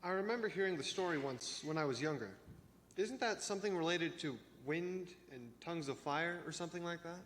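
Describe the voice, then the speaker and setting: A man's voice: a little high, a little fast, fairly bright tone, close to an everyday voice. Young man as bookish teen boy, echoey, noisy. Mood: thoughtful. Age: teenager or young adult. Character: bookish teenage boy who loves trivia. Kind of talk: church reader's theater. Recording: echoey hall with background noise.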